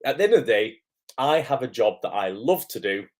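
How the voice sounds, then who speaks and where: A man with a hoarse, croaky voice from illness, hosting a casual livestream as an acting coach, greeting viewers and filling pauses with "um". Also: mid-range voice, big pitch swings, a little mellow. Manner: chatty, lots of "um" fillers, greets viewers by name. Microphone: camera microphone.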